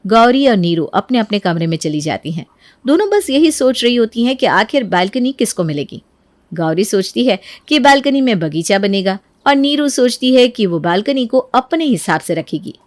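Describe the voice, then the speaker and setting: Female storyteller, very high voice, dry recording, scripted narration for an animated domestic tale. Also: moderate pace, dry recording.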